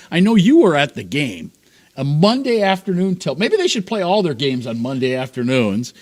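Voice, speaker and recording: A man's voice: sits high, darkish tone. A man co-hosting a sports talk show, asking skeptical questions in casual banter.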